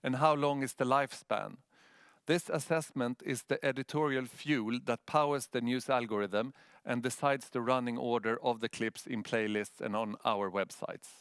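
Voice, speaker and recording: A man with a Swedish accent gives a prepared presentation in a clean, smooth voice of middling pitch. The sound is close and dry, with no room on it. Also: steady tone, moderate pace, a bit bright, no room sound. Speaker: news editor presenting a project.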